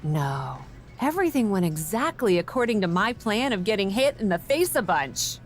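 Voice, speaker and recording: Woman acting wry wrestler, very animated delivery. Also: medium pitch, normal speed, soft background music, natural voice. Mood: determined, wry. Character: confident, wry female pro wrestler. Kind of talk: video game cutscene acting.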